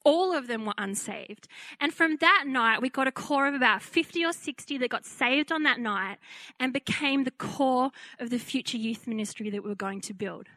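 A woman with a fairly high-pitched voice telling a lively personal testimony as a preacher, acting out the voices she quotes. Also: moderate pace, no room sound. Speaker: pastor. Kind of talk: testimony sermon.

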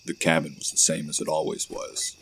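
Very deep-voiced man, measured delivery. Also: completely flat delivery, fairly bright tone, a little hiss or hum. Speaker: podcast host and audio drama narrator. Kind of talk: horror story narration and introduction.

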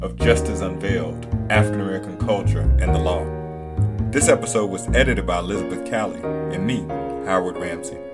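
Male announcer, very low voice, scripted credits read over loud plucked guitar music. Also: fairly quick. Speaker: podcast editor reading credits. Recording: prominent guitar music behind voice.

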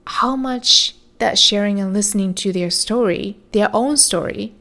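A woman hosting a podcast, talking through a reflective solo episode. Her voice is soothing and measured, and she speaks unhurriedly at a mid pitch. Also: a bit bright. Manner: slow, soothing, measured delivery. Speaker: podcast host.

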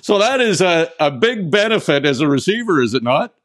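A man hosting a sports radio interview in a conversational way, pausing now and then as he frames his questions. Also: fairly high pitch, sing-song, fairly bright tone. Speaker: sports show host, interviewer.

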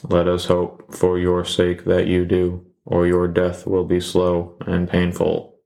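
A man playing a deep monotone deadpan killer. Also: takes it slowly, gravelly, exaggerated voice. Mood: flat menace. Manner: flat robotic deadpan delivery. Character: deadpan monotone male killer, cold and literal.

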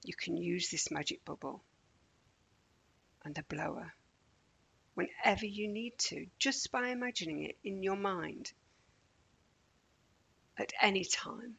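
Female meditation guide for children. Soft-edged breathy tone with vocal fry, measured and soothing delivery. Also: medium pitch. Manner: gentle, measured, deliberate pauses.

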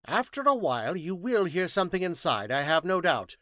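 A man's voice: high voice, sing-song, brisk, a bit bright, no room sound, studio quality, voice pushed up. A man voicing a curt, petulant, exacting scientist detective in an audiobook story, speaking precisely and didactically. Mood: grave, commanding.

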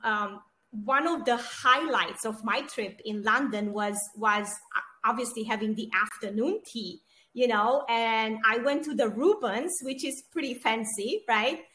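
Co-host: woman, high voice, bubbly and giggly, video-call sound. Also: a little slow, sharp tone. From Romania. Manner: bubbly, laughs often. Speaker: podcast co-host. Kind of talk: casual interview chat.

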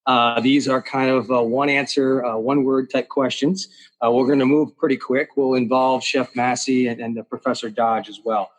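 Relaxed man hosting a panel. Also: mid-range voice, moderate pace, rough recording. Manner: relaxed, many "uh" and "you know" fillers. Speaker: host.

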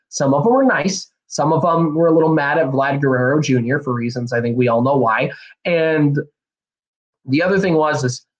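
Hoarse, nasal man podcasting. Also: on the high side, low-quality recording. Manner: rambling, energetic, many fillers and restarts. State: slightly hoarse and nasal from a cold. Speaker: podcast host. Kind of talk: casual solo podcast talk.

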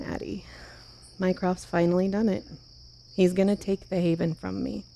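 A burdened woman leader in an audio drama, with a very soft, mellow voice pitched slightly low. She is measured and caring, holding her anxiety beneath a reassuring, earnest surface. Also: level tone, vocal fry, a little hiss or hum. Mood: anxious. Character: composed but anxious telepathic woman leader, caring, burdened. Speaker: leader of a survivors' haven. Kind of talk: naturalistic fiction podcast acting.

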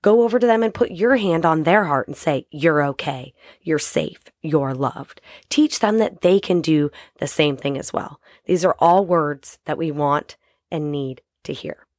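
A woman in her thirties with a low, raspy voice, hoarse from a cold as she hosts a short podcast. She speaks directly and encouragingly to her listeners. The sound is dry and close, with no room around it. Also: a little slow, a little mellow, no echo. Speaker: podcast host. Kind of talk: short motivational podcast talk.

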